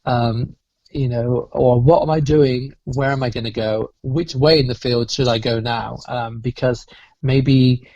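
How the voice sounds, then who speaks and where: A man speaking as a guest in a casual interview, reflective and quick to laugh, heard over a dull remote call. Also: medium pitch, steady tone, a little mellow, dry recording. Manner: reflective, laughs often, restarts phrases. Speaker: cruise entertainment director, guest.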